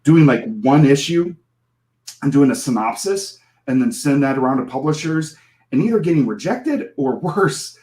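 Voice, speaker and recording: A man's voice: on the high side, animated, moderate pace, a little mellow. A man in his forties, a comic creator guest on a podcast, telling a rambling, self-deprecating story and laughing often. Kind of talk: casual podcast interview.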